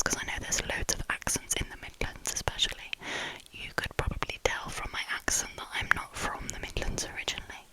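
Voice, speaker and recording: A woman in her twenties with a southern English accent, softly whispering trigger words and chatting as an ASMR creator. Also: poor audio. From southern England. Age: 20s. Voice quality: soft whisper throughout.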